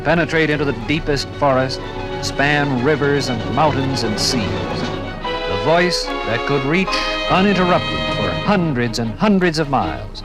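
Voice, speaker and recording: An American man narrating a scripted 1950s radio documentary in dramatic, rhetorical phrases that build deliberately. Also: mid-range voice, rough recording. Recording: vintage 1950s radio program recording.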